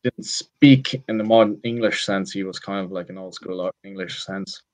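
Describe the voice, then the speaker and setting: A young Irish man in his twenties with a lowish voice. He chats as an interview guest, circling his point with restarts and fillers like "look" and "I suppose", and pokes fun at himself. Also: moderate pace, rough recording. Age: 20s. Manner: rambling, self-deprecating, frequent restarts and fillers like "look" and "I suppose". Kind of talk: casual interview chat.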